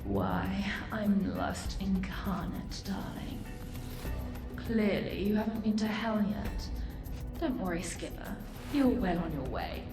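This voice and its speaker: An actress playing a haughty, seductive succubus demon in a video game, sardonic, florid and condescending. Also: female voice, mid-range voice, animated, slow pace, reverberant, distant mic, music in the background. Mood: seductive, smug. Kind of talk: video game character acting.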